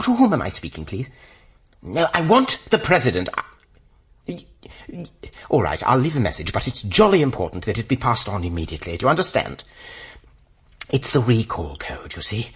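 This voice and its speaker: A man acting a polite but flustered British RAF officer in a radio play, with an upper-class English accent, breathy voice and exasperated stammer. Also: medium pitch, exaggerated voice.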